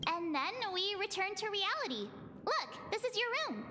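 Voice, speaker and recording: Bubbly, high-pitched anime girl. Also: big pitch swings, normal speed, pushed and bright, big-room sound, slight background noise, full character voice. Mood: bright, curious. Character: bubbly, energetic teenage girl adventurer, friendly and concerned. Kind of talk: anime game voice acting.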